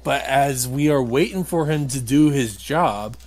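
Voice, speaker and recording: A man talks casually over a video game he is playing, at a medium pitch. He has a grumbling, critical streak and swears mildly as he explains things like a let's play host. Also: a little slow, darkish tone.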